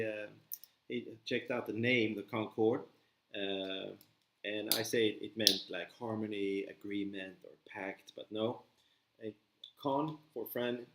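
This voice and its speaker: A man's voice: medium pitch, roomy sound, a little hiss or hum. A man from Sweden with a Swedish accent, chatting casually to viewers of his live stream while building, rambling and answering comments. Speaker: livestream host building a model kit.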